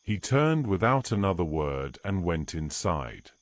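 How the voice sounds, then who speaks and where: A man narrating an audio drama in a slow, measured storytelling voice. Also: low voice, sing-song. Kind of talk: scripted narration.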